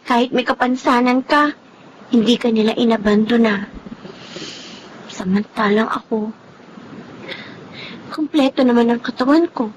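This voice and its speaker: High-voiced tender young Filipino girl played by a woman. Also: unhurried, long pauses, slight background noise, natural voice, low-quality recording. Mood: wistful, hurt. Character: adopted girl, insecure but tender younger sister. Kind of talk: Filipino radio drama acting.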